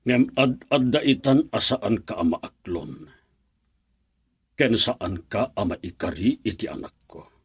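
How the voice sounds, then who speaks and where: A man with a Filipino accent acting a stern, unforgiving middle-aged father in a radio drama, cold and raspy. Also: fairly low pitch, animated, slow pace, heavily acted. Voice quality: raspy, gravel-edged. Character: stern, unforgiving father protecting his daughter.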